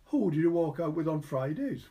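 A bossy young working woman, as a male narrator puts her on, in a storybook. The voice is lifted in pitch, with a scolding, motherly indignation. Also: very animated, moderate pace, dull audio. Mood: accusing.

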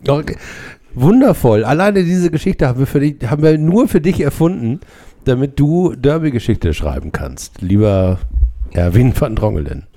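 A man's voice: medium pitch, lively intonation, moderate pace, warm tone. Playful stuttering male podcast host. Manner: playful, joking. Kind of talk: casual chat. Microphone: shared microphones.

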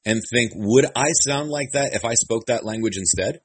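A man's voice: mid-range voice, moderate pace, slightly rough. A male interviewer asking long, rambling questions full of fillers. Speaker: entertainment interviewer. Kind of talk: press interview.

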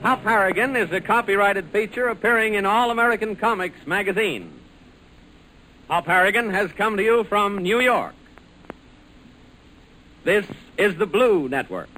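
A man's voice: sits high, expressive, normal speed, long pauses, sharp tone. A man announcing from a script in the style of 1940s network radio. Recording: noisy old radio broadcast recording.

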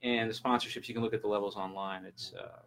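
A man of sixty-one talking casually as an interview guest, halting, often repeating words and trailing off. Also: on the low side, fairly even intonation, a bit bright, a little hiss or hum. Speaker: guest, land trust representative and gym client. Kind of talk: casual unscripted interview chat.

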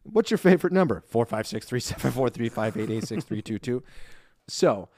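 A young man co-hosting a podcast, reading listener reviews in a lively, self-deprecating chat and laughing easily. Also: medium pitch, fairly quick, dry recording. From Ireland. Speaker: podcast co-host.

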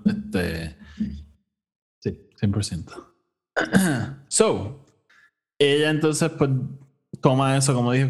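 A man with a Puerto Rican accent co-hosting a casual review chat, informally mixing English words into his Spanish. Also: on the low side. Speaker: podcast co-host.

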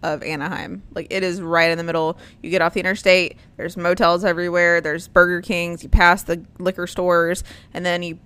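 A woman talks in an easygoing host's chat, wandering from thought to thought and filling her pauses with ums and likes. Her voice sits a bit low for a woman. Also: little pitch movement, fairly bright tone, clear, resonant tone, dry recording. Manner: rambling, with many ums and likes. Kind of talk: casual chat.

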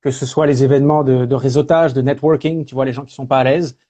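Male guest, French, easygoing, warm mellow tone, hesitant fillers. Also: medium pitch, quick pace. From France. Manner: relaxed, lots of hesitation fillers. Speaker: guest, speaker and singer. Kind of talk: casual interview talk.

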